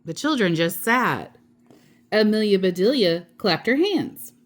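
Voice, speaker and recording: Slow, animated woman storyteller. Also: mid-range voice. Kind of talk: children's picture book read-aloud.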